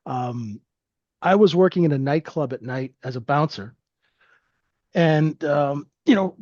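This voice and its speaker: A man's voice: fairly high pitch, measured pace, a little mellow, no echo, muffled recording. A man in his fifties from Canada, telling his life story in a rambling, friendly way with lots of fillers. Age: 50s. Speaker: guest trader. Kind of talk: casual interview storytelling.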